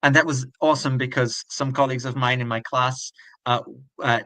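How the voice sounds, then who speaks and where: A middle-aged man from Brazil with Portuguese as his first language. His bright, forward voice sits at an ordinary pitch. The sound is dry and close, and he thinks aloud as he tells his life story in an interview. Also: fairly even intonation, a little fast, no room sound. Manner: reflective, thinks aloud with frequent restarts. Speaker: guest.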